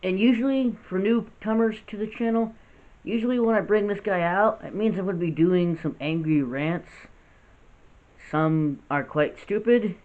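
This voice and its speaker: Gravelly young man, tinny phone-like sound. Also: high-pitched, moderate pace. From United States. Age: 20s. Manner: earnest, rambling with restarts. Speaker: vlogger. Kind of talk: unscripted serious vlog. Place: house with poor lighting.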